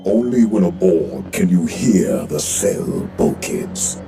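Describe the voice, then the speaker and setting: Brief: male actor as a sinister prison AI, very deep and gravelly, slow and dark, music under. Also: animated, exaggerated voice. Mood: menacing. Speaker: voice pack narrator. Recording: with music behind.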